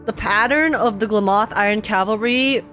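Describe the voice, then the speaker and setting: A young woman streamer giving casual, slangy, excitable live reactions to a game. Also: mid-range voice, moderate pace. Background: game music in background.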